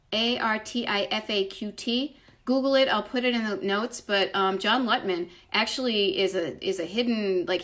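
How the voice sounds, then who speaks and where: A woman's voice: a little high, bright, forceful tone. A woman with a slight Boston accent chatting casually as a livestream host, rambling effusively and interrupting herself with emphatic asides. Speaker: livestream host, retro travel writer. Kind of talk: casual chat answering live viewer comments. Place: at home, indoors.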